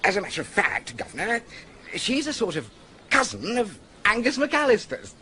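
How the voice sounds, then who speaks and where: An actor playing a breezy, dim-witted young aristocrat who chatters evasively and stammers. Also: male voice, high-pitched, wildly swooping pitch, moderate pace, pushed and bright, slight background noise, exaggerated voice.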